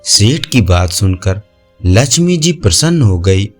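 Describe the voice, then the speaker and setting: A man's voice: lowish voice, sing-song, measured pace. A man narrating moral folk tales as a scripted storyteller. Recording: music under the voice. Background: faint music.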